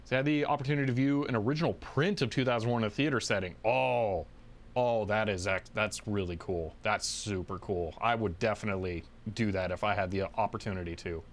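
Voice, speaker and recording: A man hosting a livestream, chatting casually and thinking aloud in a halting way as he answers viewers. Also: lowish voice, a little hiss or hum. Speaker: livestream host.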